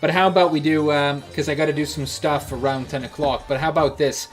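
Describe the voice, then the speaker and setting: A man's voice: on the high side, steady tone, brisk, faint music behind. A man from Sweden with a Gothenburg accent, a streamer chatting casually and jokingly with his viewers while he plays. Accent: Swedish, Gothenburg. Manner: joking, chatty, slips into mock voices.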